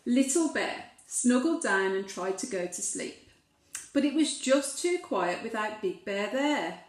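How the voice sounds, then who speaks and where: Slow, echoey woman reading a children's story. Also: on the high side. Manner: expressive, asking gentle questions of young listeners.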